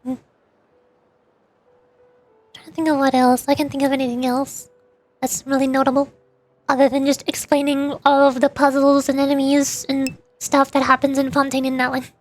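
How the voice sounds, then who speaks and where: A woman's voice: sits high, little pitch movement, normal speed, pauses often, faint music behind. A woman livestreamer chatting casually over a game in a rambling, playful way. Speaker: livestreamer, VTuber. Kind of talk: casual chat while gaming, answering live chat.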